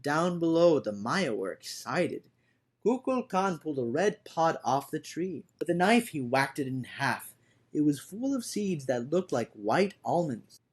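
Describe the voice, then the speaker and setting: Animated high-voiced man reading a storybook. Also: slightly rough. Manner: theatrical, swinging from hushed to shouting. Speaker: read-aloud storyteller. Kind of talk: dramatic storybook narration. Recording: orchestral music behind the voice. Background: orchestral music.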